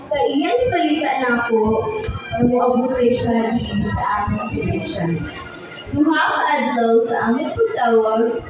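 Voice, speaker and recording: A woman acting young woman, radio drama heroine, high voice, very echoey, music underneath. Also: unhurried, recorded from a distance, highs cut off, natural voice. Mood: earnest narrating. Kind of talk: radio drama narration and dialogue.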